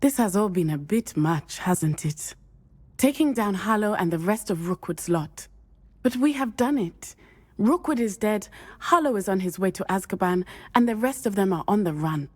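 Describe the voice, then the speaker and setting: A woman's voice: mid-range voice, animated, moderate pace, a little mellow, natural voice. An actress playing an earnest, determined young student witch with a precise, formal way of speaking. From Matabeleland. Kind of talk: video game voice acting.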